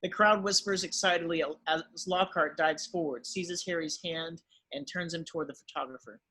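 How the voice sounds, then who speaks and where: A man narrates stage directions for a table read. His voice sits a little high, husky yet bright and forward, in a scripted reading style. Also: fairly even intonation, moderate pace, poor audio.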